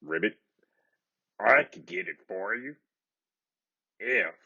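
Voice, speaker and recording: A man voicing a timid, lonely little frog with a scratchy, croaking voice. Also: fairly low pitch, expressive, slow pace, pushed and bright, exaggerated voice. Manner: croaks "ribbit" before speaking. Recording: dull lo-fi recording.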